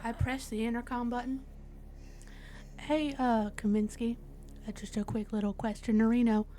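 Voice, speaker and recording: A woman's voice: on the high side, level tone, normal speed, mellow, a little hiss or hum. A woman player casually improvising in character during a tabletop roleplaying game.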